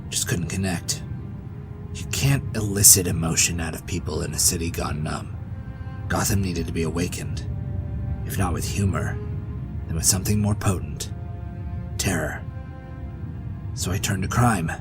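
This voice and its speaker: A man playing a wistful, obsessive former supervillain, delivering a deliberate dramatic monologue in a comic fan dub. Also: low voice, over music, close to an everyday voice. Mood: wistful, bitter. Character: reformed male supervillain, brooding, obsessive, wistful.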